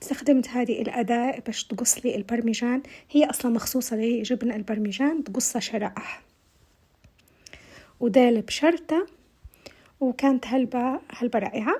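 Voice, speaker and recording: A woman's voice: fairly high pitch, brisk, pauses often, dark tone, slightly rough. A woman with a Libyan Arabic accent and a tired voice, chatting viewers through a recipe step by step in a casual voice-over. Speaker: cooking vlogger.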